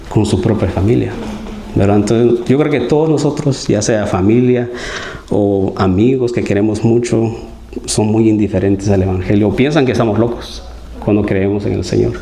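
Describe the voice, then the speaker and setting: A man's voice: fairly low pitch, moderate pace, dark tone, slight background noise. A man from Guatemala, giving an earnest, conversational sermon as a lay preacher and often asking his listeners for agreement. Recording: roomy church hall echo.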